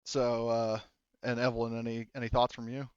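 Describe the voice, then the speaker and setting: A man's voice: medium pitch, completely flat delivery, moderate pace, clear, resonant tone, no room sound, muffled recording. A man running a tabletop roleplaying game, narrating casually and chatting with his players. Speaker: game master.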